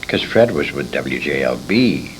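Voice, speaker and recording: A low-voiced older American man tells stories with big swings in pitch and emphatic repetition. He is an interviewee looking back on his career, gravelly in tone, on a slightly noisy old tape. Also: big pitch swings, a little slow. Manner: emphatic storyteller, acts out quoted dialogue, repeats words for emphasis. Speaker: interviewee, veteran radio performer recalling his career. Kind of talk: animated anecdotal reminiscing. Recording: noisy 1980s convention interview tape, rumble.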